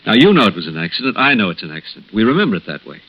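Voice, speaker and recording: Low-pitched American doctor, solemn and hesitant, vintage radio play on a dull lo-fi recording. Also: male voice, very animated, very fast, breathy edge. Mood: grave, explaining. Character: grave, hesitant male hospital doctor breaking bad news gently. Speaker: radio actor. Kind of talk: 1960s radio drama acting.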